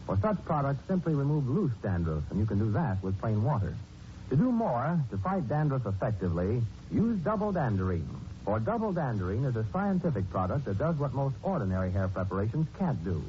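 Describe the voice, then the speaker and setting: An actor as the host and narrator of a 1940s radio mystery, speaking with dramatic, emphatic delivery. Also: male voice, mid-range voice, big pitch swings, brisk, dark tone, a little hiss or hum, lightly acted. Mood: persuasive. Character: ominous, taunting male radio mystery narrator. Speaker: host, narrator and product announcer. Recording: muffled 1940s radio recording with music.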